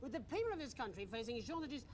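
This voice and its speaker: An actor playing a TV news anchor who sounds polished but keeps hesitating with "um". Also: male voice, very high voice, sing-song, fast talker, a loud crowd. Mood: flustered. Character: flustered male TV news anchor.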